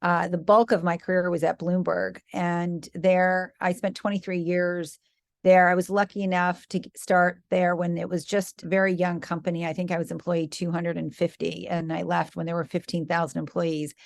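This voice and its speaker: A woman's voice: lowish voice, little pitch movement, normal speed, vocal fry, dry recording. A woman in her fifties from the US Midwest, a podcast guest telling her story with easy laughs. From Michigan, US Midwest. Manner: warm storytelling with light laughs. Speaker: guest, company founder. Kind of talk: podcast interview.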